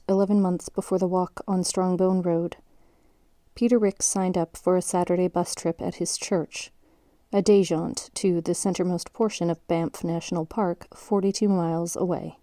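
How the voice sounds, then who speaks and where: Woman acting a low, mellow, sober documentary narrator. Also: dry recording, studio quality, close to an everyday voice. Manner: measured, factual. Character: sober female documentary narrator recounting a case. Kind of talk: scripted documentary narration in audio drama.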